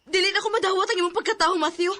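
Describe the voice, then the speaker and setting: A woman's voice: extremely high pitch, racing, bright, forceful tone, no echo. An actress with a Cebuano accent playing an emotional young woman in a radio drama. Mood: shocked, indignant. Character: emotional young woman hurt by her boyfriend.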